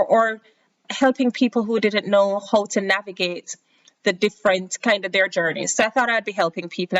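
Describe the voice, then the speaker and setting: A woman's voice: mid-range voice, highs cut off. Chatty Jamaican woman telling her story. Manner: chatty, animated when quoting others. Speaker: podcast host. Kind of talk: casual personal storytelling.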